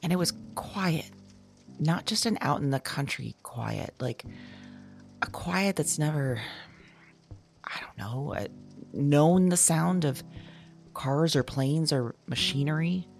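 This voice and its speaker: A woman playing an uneasy, self-conscious dreamer in an audio drama, speaking haltingly into a voice memo and trailing off. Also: sits low, gravelly, darkish tone, soft background music, heavily acted. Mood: uneasy, musing.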